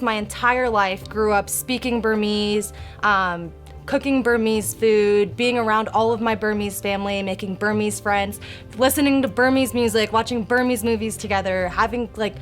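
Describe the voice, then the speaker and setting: A woman's voice: mid-range voice, moderate pace, soft background music. A woman in her twenties, born in Kenya and raised in the United States, speaking thoughtfully as a guest on a podcast. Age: 20s. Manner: thoughtful, with frequent ums. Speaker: guest, activist. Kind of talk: podcast interview.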